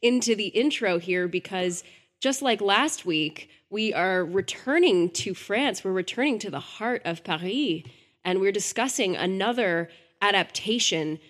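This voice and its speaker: A woman's voice: mid-range voice, expressive, measured pace, fairly bright tone. A woman co-hosting a podcast, talking in a chatty and enthusiastic way. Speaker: podcast co-host. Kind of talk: casual podcast chat.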